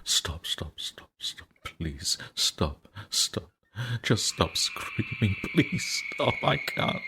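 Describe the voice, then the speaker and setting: A man's voice: low-pitched, slightly rough, exaggerated voice. A man playing an exhausted, guilt-ridden young man who is falling apart, reading his journal in a trembling, stammering, pleading voice. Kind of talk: horror story narration, journal reading.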